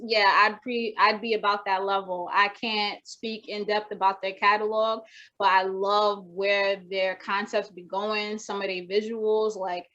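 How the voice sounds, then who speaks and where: Brief: young woman, soft voice, flat delivery, halting and rambling, lo-fi video call audio. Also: mid-range voice. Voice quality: naturally soft voice. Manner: rambling, many restarts and fillers. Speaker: rapper guest. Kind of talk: casual video-call interview. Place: home room with wooden shelves.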